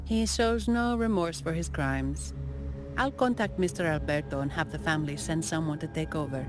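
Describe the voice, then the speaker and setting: A cool, commanding female investigator played by a woman with a low-pitched voice. She sounds composed, businesslike and dryly disdainful, as in a video game, with clear music behind her. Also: moderate pace, close to an everyday voice. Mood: stern.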